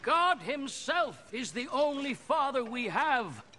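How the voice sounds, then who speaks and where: Indignant opponent, forceful and high. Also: male voice, sing-song, slow, heavily acted. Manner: emphatic, indignant. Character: indignant male religious opponent in a crowd. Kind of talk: film drama.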